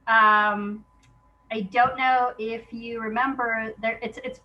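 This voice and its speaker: A woman's voice: on the high side, flat delivery, slow, highs cut off. A woman talking casually in an interview, chatty and self-deprecating in an informal way. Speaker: playwright being interviewed.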